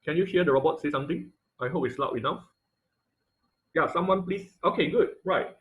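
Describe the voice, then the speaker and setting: A man teaching an online class in an informal, halting tutorial style, often checking in with listeners. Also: a little high, fairly bright tone. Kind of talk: informal tutorial with live demonstration. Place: online video call class.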